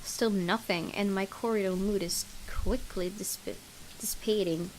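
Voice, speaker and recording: A woman hosting a gaming video, reading visual novel narration aloud in an expressive storytelling way. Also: medium pitch, measured pace, rough recording. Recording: clear background noise.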